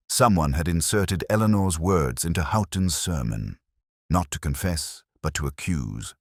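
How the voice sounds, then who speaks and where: A man narrating a literary detective mystery in the first person, in a measured and solemn way. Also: deep voice, no echo, studio quality.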